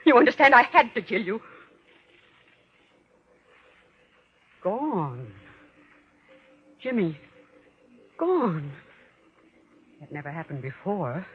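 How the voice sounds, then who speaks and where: An actress playing a guilt-ridden, murderous stepmother trapped in a nightmare, melodramatic and halting, in old-time radio horror style. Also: medium pitch, big pitch swings. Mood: guilty, defiant. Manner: melodramatic, halting fragments, from whispers to shrieks. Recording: dull, lo-fi old radio recording with noise.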